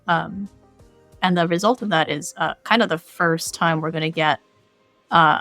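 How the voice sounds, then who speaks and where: A woman's voice: deep voice, creaky, a bit bright. A woman chatting casually as a game developer being interviewed, often laughing through her remarks. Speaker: game developer, podcast guest.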